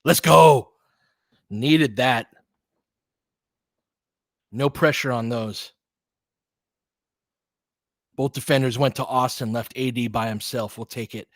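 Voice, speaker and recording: Male fan commentator, mid-pitched, dry recording. Clipped live hoops play-by-play, openly partisan, slangy. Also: takes it slowly, long pauses, no echo.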